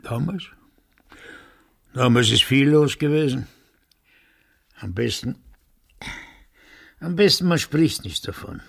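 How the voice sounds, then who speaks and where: An actor playing a cagey, melancholy village blacksmith in a radio drama, halting and evasive. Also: male voice, mid-range voice, expressive, gravelly, fairly bright tone, slightly rough, exaggerated voice. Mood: evasive, bleak.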